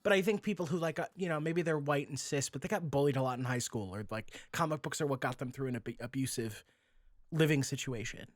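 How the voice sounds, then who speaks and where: A man hosting a casual podcast, talking in an animated, rambling way and swearing freely. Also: mid-range voice, quick pace, no room sound, clean studio sound. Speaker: podcast host.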